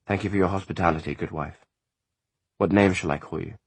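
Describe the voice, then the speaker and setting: A gentle, measured investigator, as a narrator reads him in a storybook, low and husky. His questions stay soft and kind. The reading is dry and close. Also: fairly even intonation, no echo, exaggerated voice. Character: calm gentle young male investigator.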